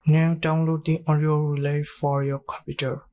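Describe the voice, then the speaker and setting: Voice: medium pitch, little pitch movement, moderate pace, darkish tone. A narrator reading scripted step-by-step tech tutorial instructions in a plain, instructional way.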